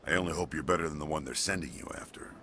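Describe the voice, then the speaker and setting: An actor playing a grave, doubtful authority figure in a video game, with a slow, wary delivery. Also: male voice, a bass voice, sharp tone, a little hiss or hum. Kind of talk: video game dialogue acting.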